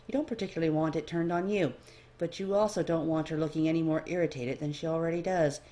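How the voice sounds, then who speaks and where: A woman's voice: deep voice, steady tone, fast talker, mellow. A woman reading second-person fiction aloud as a narrator. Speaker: amateur fan fiction reader.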